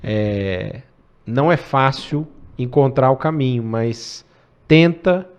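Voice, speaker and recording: A man's voice: mid-range voice, slow, smooth. A man in his forties, a podcast guest talking casually, often adding cara and né. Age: 40s. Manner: relaxed, says cara and né often. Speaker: podcast guest, tech executive.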